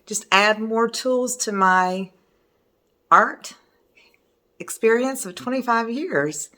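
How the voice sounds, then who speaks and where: Woman, hoarse, middle-aged, unhurried, chatty and enthusiastic, art teacher at home. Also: fairly high pitch, pushed and bright. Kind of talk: casual video talk showing artwork. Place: her home in the evening.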